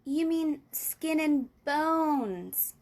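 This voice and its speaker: Earnest curious little girl, done by a woman reader, high and unhurried, questions lifting upward. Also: lively intonation, darkish tone, full character voice. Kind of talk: storybook reading.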